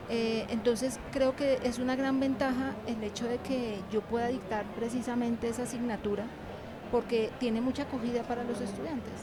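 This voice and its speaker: High-voiced woman in noisy venue. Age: middle-aged. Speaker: university animation lecturer and author, interview guest. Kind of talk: conversational interview answers. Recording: clear background noise of busy venue. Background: ambient noise of a book fair.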